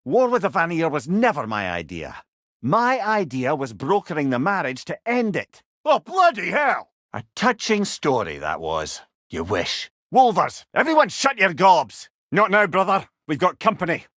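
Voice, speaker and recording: An avuncular, bearded old Scottish counsellor, a severed head in a video game. His delivery is wry, courtly and wordy, with a salty streak. The voice sits a little high and moves with lots of melody, in a very dry, close studio sound. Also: wildly swooping pitch, normal speed, fairly bright tone, no room sound. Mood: exclaiming, wry. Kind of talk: video game character dialogue.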